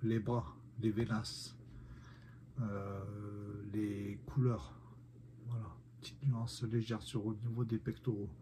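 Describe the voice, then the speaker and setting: A man speaks low and rather flat, his nose stuffed up from a cold. He chats casually to his viewers, pausing often with fillers. A faint hiss sits under his mellow voice. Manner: hesitant, many "euh" fillers, speaks to viewers. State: nasal from a head cold. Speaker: figure collector reviewing a figurine. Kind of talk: casual unboxing vlog.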